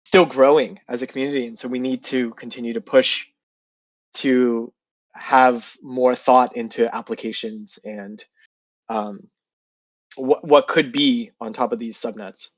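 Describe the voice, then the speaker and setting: A man's voice: mid-range voice, steady tone, a little slow, no room sound, lo-fi sound. A man with a sinus infection, sounding nasal and congested, answering questions in a casual online interview and thinking aloud with many fillers. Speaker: guest developer.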